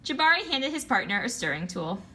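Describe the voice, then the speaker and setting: A woman's voice: a little high, very animated, brisk, pushed and bright. A woman reading children's picture books aloud as narrator for a story time. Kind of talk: animated children's picture book read-aloud. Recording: faint background noise.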